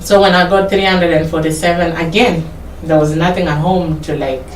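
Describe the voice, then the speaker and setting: A woman's voice: lowish voice, steady tone, normal speed. A Kenyan woman in her thirties telling her life story in an interview, hesitant and repeating words, now and then slipping into Swahili. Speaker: guest. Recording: echoey room, mic far off, some noise.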